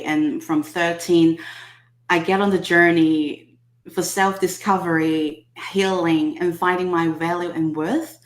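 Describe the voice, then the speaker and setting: A woman in her thirties with a Hong Kong accent, a native Cantonese speaker telling her personal story in a soft, measured way as an interview guest. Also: sits low, echoey room. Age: 30s. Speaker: guest, subconscious healing specialist.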